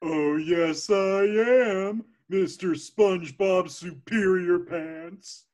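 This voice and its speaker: A man's voice: high-pitched, a little mellow. A man voicing a dim, proud and gullible cartoon starfish in a naive way. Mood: proud, childish. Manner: slow, naive. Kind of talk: cartoon script voice acting.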